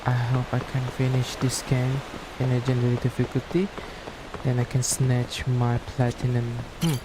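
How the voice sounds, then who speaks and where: A man's voice: medium pitch, flat delivery, normal speed, background noise. A Malaysian man, a Malay native speaker, casually commenting while streaming a game, thinking aloud and humming to himself. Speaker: gameplay streamer. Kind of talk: casual live gameplay commentary.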